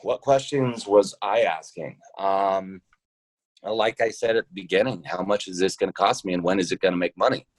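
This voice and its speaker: Very low-voiced man, dry sound. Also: moderate pace, dry recording. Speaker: podcast guest, role-playing show founder and trucker. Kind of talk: casual interview conversation.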